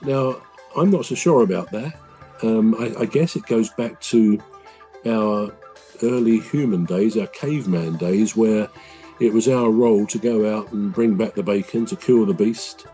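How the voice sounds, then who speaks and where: Low, mellow-voiced man musing on a podcast. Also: measured pace, faint music behind, poor audio. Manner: thoughtful, rambling, with frequent fillers and restarts. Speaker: podcast co-host. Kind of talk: casual podcast chat.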